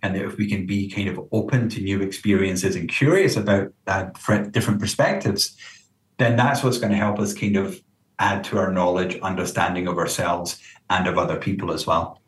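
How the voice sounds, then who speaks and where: A man's voice: low voice, fairly even intonation, normal speed. A man speaking as a counsellor being interviewed, explaining in a conversational way and often checking in with the listener. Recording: roomy echo, distant from mic.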